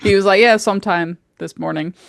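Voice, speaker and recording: A woman co-hosting a podcast in casual chat, giggly and self-conscious, telling stories with animated voices. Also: mid-range voice, fairly quick, gravelly, no echo, clean studio sound. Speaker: podcast co-host.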